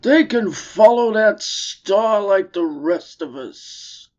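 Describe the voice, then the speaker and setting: A woman's voice: medium pitch, animated, a little mellow, highs cut off, voice pushed up. A woman voicing a proud, grumbling old male camel with aching joints, in a grandiose, complaining manner. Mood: grand, grudging. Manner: grandiose, complaining, drawn-out delivery.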